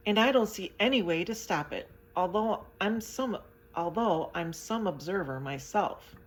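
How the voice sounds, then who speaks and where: A woman's voice: fairly low pitch, animated, unhurried. A woman voicing a kindly old sailor with homely, plain speech. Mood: soothing.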